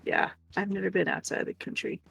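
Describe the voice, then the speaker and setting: A woman's voice: mid-range voice, sing-song, a little fast, gravelly, a bit bright, slightly rough, dry recording, studio quality, faint music behind. An American woman co-hosting a livestream, chatting casually with viewers. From United States. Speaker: livestream co-host.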